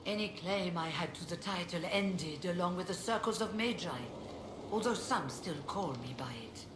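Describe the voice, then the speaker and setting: A woman voicing a weary, dignified mage leader in a video game, speaking in a measured, formal, reflective way. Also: lowish voice, lightly acted. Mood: grave, measured. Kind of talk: video game dialogue acting. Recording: roomy echo, clearly noisy game capture.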